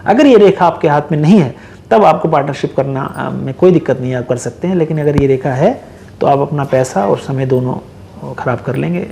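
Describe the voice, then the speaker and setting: A man's voice: on the high side, lively intonation, brisk, creaky, warm tone, slight background noise. A man giving emphatic, instructive astrological advice as an interview guest, mixing in English phrases. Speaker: astrologer and palmist, programme guest. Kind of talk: advisory explanation in a studio interview.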